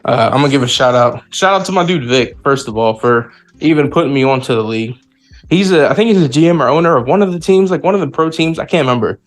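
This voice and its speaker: Man from the US, quick pace, cocky, joking, casual podcast guest chat. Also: mid-range voice, expressive, faint music behind. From United States. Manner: cocky, joking, repeats himself. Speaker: podcast guest, sim league player.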